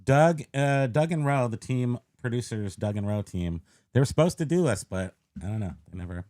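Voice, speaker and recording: A man's voice: mid-range voice, lively intonation, moderate pace, a little mellow. A man co-hosting a podcast, chatting casually on a live stream in a joking, playful way. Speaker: podcast co-host.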